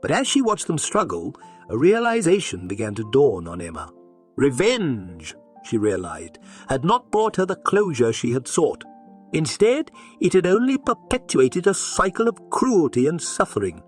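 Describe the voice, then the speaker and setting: A man narrating a scripted dramatic story in a measured, expressive read-aloud delivery. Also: on the high side, soft background music. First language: English.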